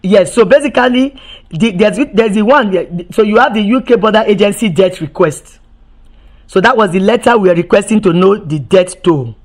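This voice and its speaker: A woman's voice: mid-range voice, moderate pace. Emphatic woman activist firing rhetorical questions. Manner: emphatic, repeats and restarts words, rhetorical questions. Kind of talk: impassioned recorded testimony.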